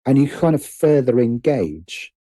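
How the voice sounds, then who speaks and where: Male interview guest, very soft dark tone, thoughtful stop-start conversational delivery, close dry sound. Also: mid-range voice, sing-song, unhurried, no room sound. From United Kingdom. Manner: hesitant, repeats and rephrases phrases as he thinks. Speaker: guest, writer and producer.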